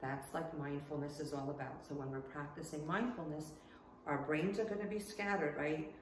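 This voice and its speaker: Woman teacher, first language Portuguese, lowish voice, home lesson for kids, recorded from a little way off in an echoey room. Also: fairly even intonation, moderate pace, far from the mic, noisy background. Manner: keeps asking "right?" to check in with students. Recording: echoey room, voice from off the mic, faint ambience.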